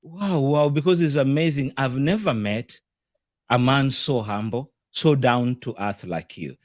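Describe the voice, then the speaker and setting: A man's voice: medium pitch, unhurried, no room sound, studio quality. A Kenyan man from Nairobi hosting an online talk show, hyping his audience and welcoming a guest with enthusiasm. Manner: hyped, enthusiastic promoter. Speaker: host.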